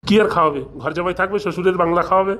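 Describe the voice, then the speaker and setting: A man's voice: high-pitched, steady tone, rapid-fire, a bit bright. A man voicing a scheming, blustering father of a grown son in a comic cartoon. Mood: boastful scheming. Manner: wheedling, blustering, colloquial.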